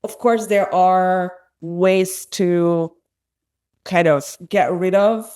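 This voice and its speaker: A woman's voice: fairly low pitch, darkish tone. A woman from Hungary hosting a podcast interview, thoughtful and searching for her words as she asks questions. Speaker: podcast host. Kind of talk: conversational interview.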